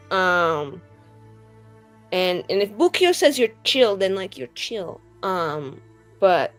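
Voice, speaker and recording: A woman playing a tabletop roleplaying game, speaking in a halting, rambling, improvised in-character style with casual asides. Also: fairly low pitch.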